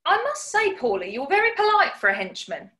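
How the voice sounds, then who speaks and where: A woman playing a plucky, polite, sharp-witted housemaid in a radio comedy, chirpy and frank. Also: very high voice, wildly swooping pitch, brisk, exaggerated voice. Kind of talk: 1940s radio comedy acting.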